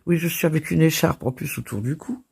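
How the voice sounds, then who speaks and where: A French woman hosting her crafting channel, hoarse from a cold, chatting casually and laughing at herself. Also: deep voice, a little fast, darkish tone. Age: older. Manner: chatty and self-deprecating, laughing and coughing. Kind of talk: casual chat.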